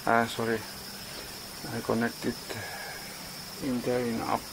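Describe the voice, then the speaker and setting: A man in his forties vlogging casually outdoors on a farm, speaking in halting English and searching for words, recorded on a handheld phone. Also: medium pitch, monotone, creaky, noisy background. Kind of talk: casual vlog narration. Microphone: phone camera at arm's length. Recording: outdoor ambience with insects and animals.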